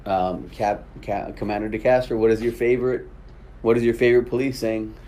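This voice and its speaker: Chatty host with a low, mellow voice. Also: male voice, steady tone, brisk. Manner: chatty, uses "you know" and "right?". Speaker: podcast host. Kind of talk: casual interview chat.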